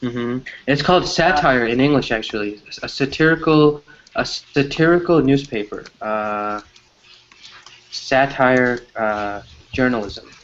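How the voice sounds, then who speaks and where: Relaxed man teaching English on a video call. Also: medium pitch, measured pace. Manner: relaxed, encouraging, explains words. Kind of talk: casual chat with students. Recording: dull lo-fi call audio.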